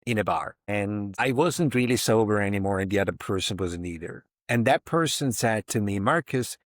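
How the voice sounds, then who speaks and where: A man's voice: lowish voice, lively intonation, moderate pace, no room sound, studio quality. A man in his fifties, a podcast guest speaking reflectively and emphatically, often voicing inner thoughts as quotes. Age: 50s. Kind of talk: reflective interview talk.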